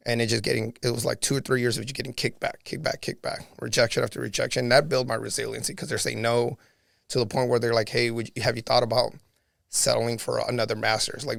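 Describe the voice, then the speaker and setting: A man with a raspy voice, a podcast guest telling his life story in an earnest, reflective way. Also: medium pitch, little pitch movement, quick pace, a bit bright, dry recording, clean studio sound. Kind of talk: casual storytelling conversation.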